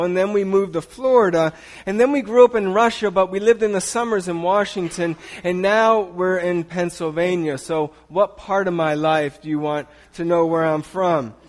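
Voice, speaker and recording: A man preaching a sermon in a church, talking to his congregation in a loose, conversational way with frequent restarts. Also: high voice. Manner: conversational, halting with restarts, chuckling asides. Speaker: pastor. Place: church sanctuary.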